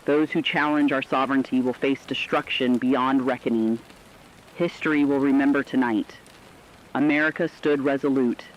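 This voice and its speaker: A man's voice: mid-range voice, lightly acted. A man playing a resolute war correspondent, delivering scripted dramatic news in a stern, declamatory way. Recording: dull lo-fi radio sound.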